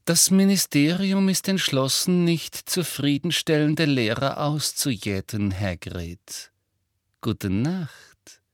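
A man voicing a prim, falsely sweet middle-aged female official in an audiobook, slow, officious and condescending. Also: a little high, no room sound, studio quality, pitched above the performer's own voice. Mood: sweetly menacing. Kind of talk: audiobook character voice.

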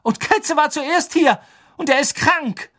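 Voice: mid-range voice, very animated, normal speed, husky, no room sound, studio quality, voice pushed up. A narrator voicing a hot-headed, grumpy teenage schoolboy in an audiobook, blunt, irritable and complaining. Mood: angry. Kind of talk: audiobook character acting.

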